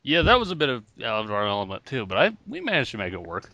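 A man's voice: mid-range voice, wildly swooping pitch, fast, pushed and bright, dry recording. A man co-hosting a podcast in casual joking banter, laughing often. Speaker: podcast co-host. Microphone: podcast mic.

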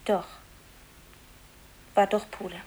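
An actress playing a hurt, downcast office secretary who speaks in a subdued, halting way in a 1950s German radio play. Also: female voice, medium pitch, flat delivery, darkish tone, breathy edge, poor audio. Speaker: radio drama actor. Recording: hissy 1950s radio recording.

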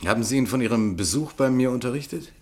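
A man's voice: mid-range voice, a little mellow, close to an everyday voice. An actor playing an eloquent, shrewd and courteous male defence lawyer in a German radio play, rhetorical and emphatic. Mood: measured, pensive. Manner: rhetorical, emphatic in court, dry and measured in private.

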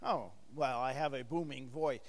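A man's voice: medium pitch, normal speed, in a large hall. A middle-aged man preaching a sermon as pastor, conversational and joking with the congregation, full of rhetorical questions, on a microphone in a church. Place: church sanctuary. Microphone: handheld or lapel microphone, recorded for a booth.

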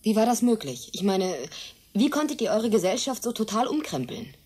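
Mellow, husky, questioning heroine. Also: female voice, a little high, sing-song, normal speed. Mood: wondering, uneasy. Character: hesitant but stubborn human woman. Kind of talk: German radio drama acting.

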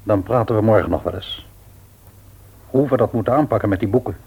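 A man playing an earnest, patient, devout middle-aged father in a radio play, with measured delivery and a rough-edged husky voice. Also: lowish voice, a little mellow, exaggerated voice, poor audio. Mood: sombre, resigned. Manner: measured, grave, deliberate. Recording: old radio play recording.

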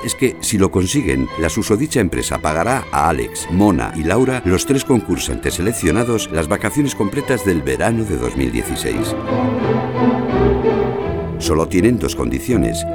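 A man with a Castilian accent reading a scripted, wry narration for a radio fiction. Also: sits low. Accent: Castilian Spanish.

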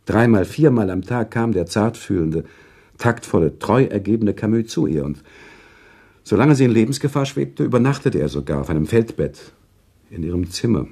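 An actor playing an earnest, sentimental author who tells a story in a radio play, with deliberate, expressive literary delivery. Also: male voice, fairly low pitch, slightly rough. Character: male author narrator recounting a sad love story, earnest and sentimental. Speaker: narrator.

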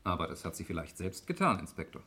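A man's voice: low voice, big pitch swings, quick pace, bright, forceful tone, a little hiss or hum, full character voice. A man playing a stubbornly unconvinced nephew in a 1960s radio crime drama, objecting insistently. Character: doubting nephew named Erik, stubbornly unconvinced.

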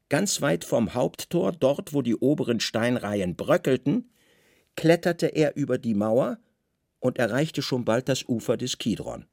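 A man narrating a literary radio play in measured, expressive, weighted phrases. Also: mid-range voice, creaky, no echo, studio quality. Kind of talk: literary scripted narration, dramatic audio drama delivery.

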